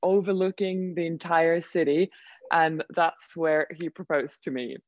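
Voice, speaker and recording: A woman's voice: low-pitched, steady tone, measured pace, dry recording, dull audio. Chatty young Finnish woman on a call. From Finland. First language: Swedish. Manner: chatty, storytelling, frequent "like" and "um". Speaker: interview guest, model. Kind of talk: casual interview chat.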